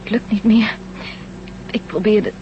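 Actress as a faltering survivor, muffled noisy recording. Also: female voice, mid-range voice, steady tone, measured pace, lightly acted. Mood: weak, bewildered. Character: weak, weary female space survivor. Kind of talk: Dutch science-fiction radio drama acting. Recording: dull, noisy old radio drama recording.

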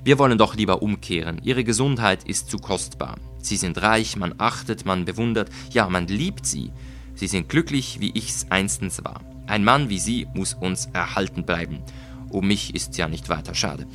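Scheming, polite nobleman, voiced by a male reader: low, measured, insistent, literary audio story over music. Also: a bit bright. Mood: feigned concern. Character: cunning avenging nobleman feigning polite concern.

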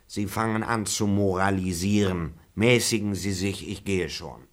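A man's voice: sits low, a little slow. An actor playing an insolent male servant who mocks his master in a radio drama. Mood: mocking. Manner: insolent, mocking. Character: cheeky grumbling male servant.

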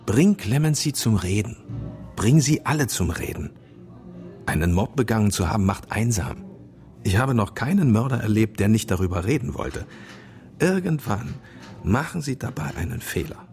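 An actor playing a fatherly senior police man in a German radio play, measured, probing and authoritative. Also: lowish voice, lively intonation, vocal fry, a little mellow, soft background music. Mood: calm, probing.

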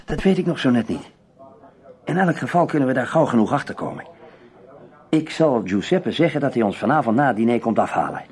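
A man playing a cool amateur investigator in a radio drama, questioning in a terse, measured manner. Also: mid-range voice, lively intonation, a little mellow, lightly acted. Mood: serious, decisive. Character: cool, probing male amateur investigator named Tim.

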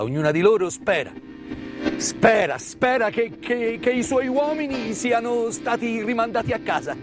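High-pitched man, dramatic stage storyteller. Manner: intense, emphatic, with repeated words and pauses. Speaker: stage actor-narrator. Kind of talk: dramatic theatrical monologue storytelling. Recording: live stage performance with music. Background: live music.

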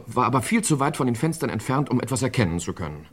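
A man's voice: mid-range voice, very animated, fast talker, background noise, close to an everyday voice. A man playing a self-important, evasive young aristocratic nephew in a German radio crime play, polite and given to protesting. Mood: defensive.

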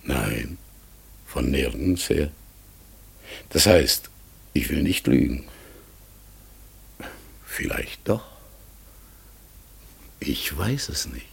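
A deep, hoarse, halting talking raven. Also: male voice, lively intonation, exaggerated voice. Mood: unsure, brooding. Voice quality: hoarse, gravelly, breathy, often dropping to a whisper. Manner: slow, halting, earnest, searching for words. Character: talking raven, polite, hesitant, forgetful, melancholy and mysterious. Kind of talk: classic German radio play acting.

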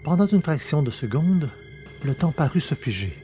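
A man narrating a science fiction adventure in a measured, dramatic storytelling manner. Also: a little high, very animated, loud music behind the voice. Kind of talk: scripted audiobook narration.

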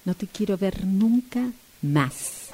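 A woman's voice: mid-range voice, lively intonation, slow pace, darkish tone. An Argentine woman acting out a dialogue exercise in a hesitant, urgent, repetitive manner. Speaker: radio drama workshop student.

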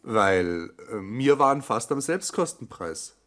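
A man's voice: on the low side, very animated, takes it slowly, fairly bright tone, heavily acted. An actor playing an evasive, business-minded young man with a Bavarian accent in a radio play, matter-of-fact and detached. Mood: detached, businesslike. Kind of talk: naturalistic radio play acting.

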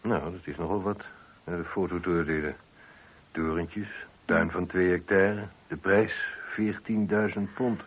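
A man's voice: low voice, steady tone, a little slow, slightly rough. A man acting a methodical police inspector in a Dutch radio play. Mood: businesslike. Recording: dull, muffled old radio recording.

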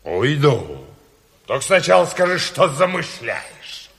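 Coarse swaggering commissar, highish voice, slow delivery, radio drama, long reverb. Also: male voice, sing-song, gravelly, fairly bright tone, slightly rough, exaggerated voice. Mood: taunting. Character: brazen, boastful dock-loader turned commissar, drunken womanizer. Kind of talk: 1970s Soviet radio drama acting. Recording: reverberant hall-like studio radio play.

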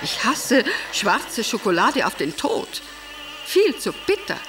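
A high-voiced, hysterically devoted wife of the Nazi era, delivers a theatrical monologue. She is a vain former stage star who declaims melodramatically. Her phrases are drawn out and unhurried. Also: big pitch swings, over music, heavily acted. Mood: disgusted.

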